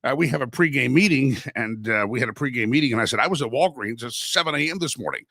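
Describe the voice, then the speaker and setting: A man's voice: mid-range voice, lively intonation, fast, a bit bright, dry recording. A man hosting a casual political talk show, stuffy and a little sore from a head cold, talking in a folksy, chatty way with many asides. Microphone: studio microphone.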